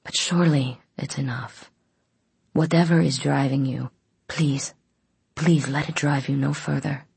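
Brooding vampire man, acted by a woman narrator: courtly, eerie, ornate wording, low husky delivery. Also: fairly even intonation, a little slow, a little mellow, no echo, full character voice. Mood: earnest, pleading. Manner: oddly formal, ornate speech. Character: male vampire, formal, brooding, old-fashioned and eerie.

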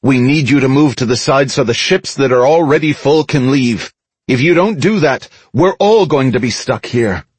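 Male narrator as earnest young-hearted soldier: pleading yet firm, pushed higher, audiobook drama. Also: lively intonation, normal speed, slightly rough, no room sound. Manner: emphatic, loud appeals.

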